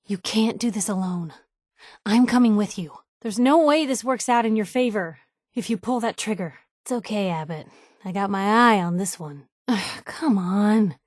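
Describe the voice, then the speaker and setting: A woman's voice: mid-range voice, expressive, normal speed, darkish tone, no echo, studio quality, natural voice. An actress playing a wry, plucky young woman reporter, an outspoken and loyal video game companion with a sardonic, conversational delivery. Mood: pleading, urging.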